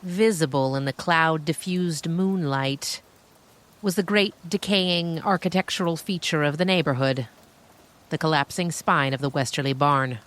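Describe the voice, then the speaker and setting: A woman storyteller reading scripted fiction with dramatic, measured phrasing and deliberate pauses. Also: low-pitched, a bit bright. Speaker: storyteller, podcast narrator.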